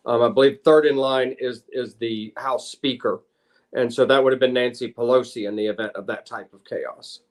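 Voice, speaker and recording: A middle-aged man, a native English speaker and lawyer, answering interview questions in halting, searching phrases and switching between two languages. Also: mid-range voice, steady tone. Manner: halting, searching for words in Spanish. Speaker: lawyer and former state senator, guest.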